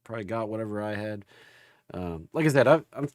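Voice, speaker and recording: Male livestream market host, gravelly and under the weather, informal folksy delivery, dry recording. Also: fairly low pitch, lively intonation, a little fast, no echo. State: recovering from an illness. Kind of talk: casual livestream chat and market commentary.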